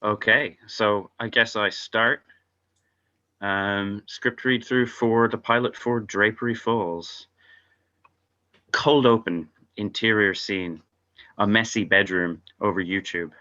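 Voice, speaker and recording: A man's voice: on the low side, fairly even intonation, slow pace, pushed and bright. A man narrating stage directions from a script at an informal table read, heard over lo-fi video call audio.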